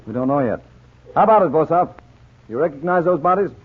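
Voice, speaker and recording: An actor playing a brisk, authoritative American police lieutenant with clipped delivery in a 1940s radio drama. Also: male voice, fairly high pitch, animated, husky. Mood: businesslike. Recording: tinny 1940s radio recording.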